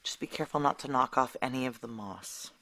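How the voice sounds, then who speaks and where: A woman's voice: very deep voice, fairly quick, fairly bright tone, no echo. An actress playing a guilt-worn, blunt female operative on the run in a noir audio drama, wry and terse. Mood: hushed, guarded. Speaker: narrator and lead character. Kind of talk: noir audio drama acting, first-person narration.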